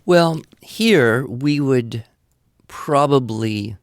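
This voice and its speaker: A man's voice: medium pitch, very slow, no echo, studio quality. An older man with an American accent giving conversational advice in a reassuring, explanatory manner. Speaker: podcast expert answering listener questions.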